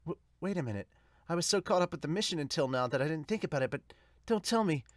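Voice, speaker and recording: A man's voice: a little high, lively intonation, quick pace, no echo, studio quality, natural voice. A man voicing an earnest, polite, easily flustered young woman detective in an amateur manga dub.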